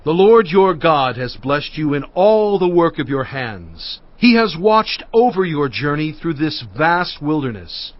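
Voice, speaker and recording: A man's voice: on the high side, big pitch swings, dry recording, dull audio. A man playing an elderly leader solemnly recounting his people's journey in a dramatized scripture reading. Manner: solemn, deliberate storytelling.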